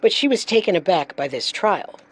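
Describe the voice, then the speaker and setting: A woman's voice: lowish voice, animated, moderate pace. A woman narrating a scripted true-crime story as host.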